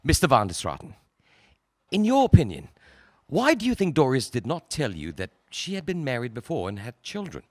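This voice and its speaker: A man acting a prosecutor in a 1940s court, questioning in a measured, formal way. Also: mid-range voice, huge pitch swings, no echo. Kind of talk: radio drama acting.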